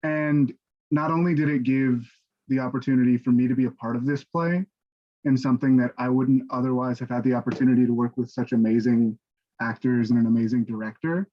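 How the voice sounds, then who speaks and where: A man speaking in a measured, earnest way during a casual online panel discussion over a video call. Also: medium pitch, steady tone. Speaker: stage directions reader. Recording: dull, lo-fi video-call audio.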